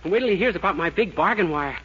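An actor playing a naive, earnest, rather dim young clerk in 1940s radio sitcom style. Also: male voice, high-pitched, animated, rapid-fire, slightly rough. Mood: earnest, cheerful. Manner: earnest, innocent. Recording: dull old radio recording, loud hiss, clicks.

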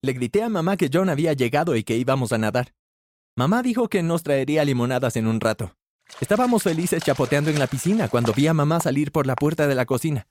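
A man narrating a scripted first-person story with animated delivery, shifting his pitch when he voices the characters. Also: quick pace, no room sound.

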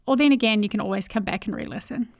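A woman's voice: medium pitch, fast talker, creaky, a little hiss or hum. A woman with a New Zealand accent hosting a podcast, reading an earnest, upbeat intro and then interviewing a guest. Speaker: podcast host.

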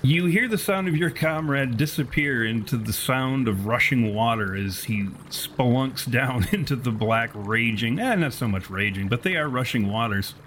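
A man narrating a tabletop horror game as game master, in descriptive, deliberate, self-correcting storytelling. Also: medium pitch. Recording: steady background noise.

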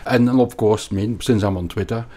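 Deep-voiced Belgian-accented man, halting. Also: mellow. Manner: hesitant, many repeated words and restarts. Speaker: finance guest. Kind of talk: conversational interview.

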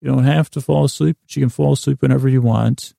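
A man hosting a bedtime podcast in a rambling, whimsical way, halting and repeating himself, close to the mic in a small closet. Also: mid-range voice, steady tone, gravelly, warm tone, no echo. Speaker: podcast host. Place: small closet used for recording. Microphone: close mic in a small recording closet.

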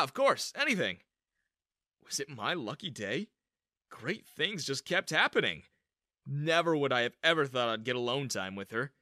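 Man as awkward smitten teen boy, very bright tone, lively swings, eager story narration. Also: mid-range voice, dry recording, studio quality, heavily acted. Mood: eager, amazed. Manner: eager, animated. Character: awkward smitten high school boy, magic-obsessed. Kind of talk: scripted first-person story narration.

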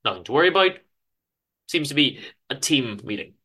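A man's voice: medium pitch, expressive, fairly quick, pushed and bright, slightly rough. A man narrating a scripted story dramatically, with a theatrical delivery.

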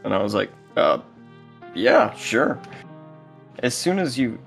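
A man's voice: mid-range voice, sing-song, slow, vocal fry, faint music behind. A man talking casually as a podcast guest, telling personal anecdotes. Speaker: guest, voice actor and co-writer.

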